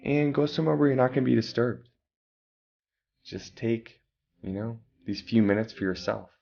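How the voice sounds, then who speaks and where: A man calmly guiding a meditation, giving slow breathing instructions with long pauses. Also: medium pitch, vocal fry, dull audio. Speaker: meditation guide. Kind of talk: guided meditation.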